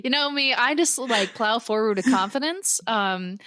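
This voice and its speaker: A woman's voice: on the high side, normal speed, fairly bright tone, no room sound. A woman co-hosting a podcast in casual chat, with a playful, joking way of talking. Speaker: podcast co-host.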